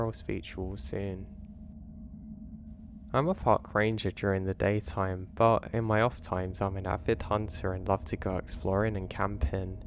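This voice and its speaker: A man with a slightly strained throat, calmly narrating scripted first-person horror stories and giving gentle advice as the channel's host. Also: sits low, dry recording.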